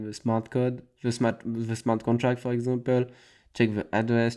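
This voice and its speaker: A man's voice: mid-range voice, darkish tone. Chatty man musing on tech news. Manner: loose, conversational, frequent "I mean" and restarts. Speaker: host. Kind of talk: casual tech news commentary.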